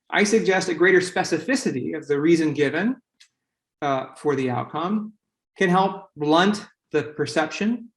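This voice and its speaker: A man delivers an academic panel talk in a slightly raised voice. His phrases come haltingly, broken up by frequent fillers. The sound carries a noticeable room echo. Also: fairly high pitch.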